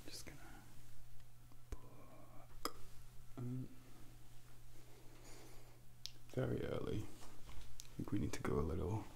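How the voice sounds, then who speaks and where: A man playing a suave, ominous Devil in a soft-spoken ASMR roleplay, slow and deliberate, close to the mic with hushed whispers. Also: lowish voice, animated, gravelly, heavily acted. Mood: intrigued, musing. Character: suave, ominous male Devil probing a soul. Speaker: ASMR performer. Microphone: close binaural microphone. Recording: close-up with faint background noise.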